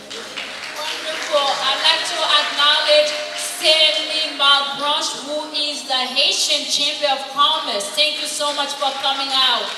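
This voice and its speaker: A woman's voice: high voice, level tone, takes it slowly. A woman hosting a gala and introducing speakers in an upbeat, gracious way. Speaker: event host. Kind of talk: emcee introductions. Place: large echoey hall at a gala. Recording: echoey hall, far off, faint noise.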